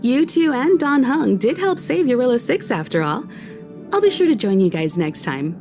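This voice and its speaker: A woman's voice: high-pitched, sing-song, normal speed. A woman voicing a gracious, composed, kindly mentor figure in video game dialogue. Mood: kindly, encouraging. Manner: gracious, encouraging. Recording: faint game music behind the voice.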